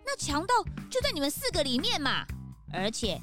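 A woman playing an eager, excitable police sidekick in a children's audio drama, speaking in a bouncy, exclamatory way. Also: sits high, moderate pace, over music.

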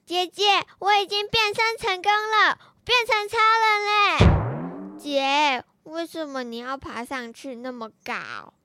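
A child plays a clingy little brother in a children's radio drama. The small boy speaks slowly in a very high, eager voice, trusting and childlike. Music plays clearly underneath. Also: bright, forceful tone, exaggerated voice.

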